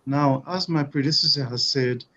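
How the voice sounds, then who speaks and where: A man giving an academic lecture at a webinar, measured and dotted with "you know" and "uh". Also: medium pitch, sharp tone, dull audio. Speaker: webinar speaker. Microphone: video call.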